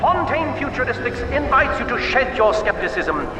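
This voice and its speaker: A man's voice: very high-pitched, very animated, normal speed. An actor playing a smug corporate showman in a video game, with showy, mocking, condescending announcer patter. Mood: showy, teasing. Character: smug male corporate showman addressing a test subject. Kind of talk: theatrical stage-announcer patter. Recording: echoey hall, distant, loud music behind.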